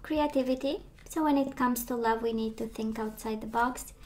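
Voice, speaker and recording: A woman giving a casual tarot reading, chatty and reassuring, her voice strained as she loses it and clears her throat. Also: on the high side, steady tone, moderate pace, darkish tone. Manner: chatty, reassuring, many fillers and restarts. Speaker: tarot reader. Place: indoors at a table of tarot decks.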